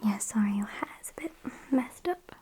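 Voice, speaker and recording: A British woman voicing a sassy, politely menacing vampire kidnapper in a breathy, deadpan ASMR whisper. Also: mid-range voice, slight background noise, lightly acted. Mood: matter-of-fact, fussy. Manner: unhurried, chatty, deadpan.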